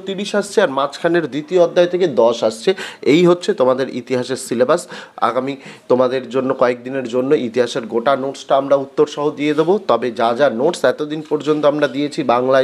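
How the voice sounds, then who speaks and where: A man explaining an exam pattern to students as a channel's teacher, repeating points and asking and answering his own questions. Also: fairly high pitch.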